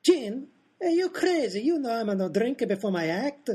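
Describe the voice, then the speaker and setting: A husky-voiced Italian vaudeville daredevil with a thick comic accent. He is boastful, indignant and forever complaining in broken English, in the manner of an old-time radio comedy. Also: very high voice, sing-song, normal speed, dark tone, full character voice. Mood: exasperated, complaining.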